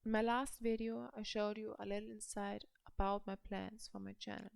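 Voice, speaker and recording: Slow German-accented young woman, gravelly. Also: mid-range voice, level tone, no echo. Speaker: language vlogger. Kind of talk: slow personal vlog talk.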